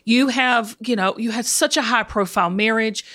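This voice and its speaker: A woman podcast host chatting casually and heartfelt with a guest, expressive and confiding, with emphatic affirmations. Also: a little high, normal speed. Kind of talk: casual heartfelt interview chat.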